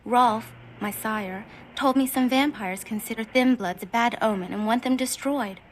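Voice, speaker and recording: Actress as distraught young vampire woman, high voice. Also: slight background noise, natural voice, poor audio. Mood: hurt, anxious. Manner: breathy, anguished, halting delivery. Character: distraught young female thin-blood vampire, hungry and guilt-ridden. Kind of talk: video game voice acting.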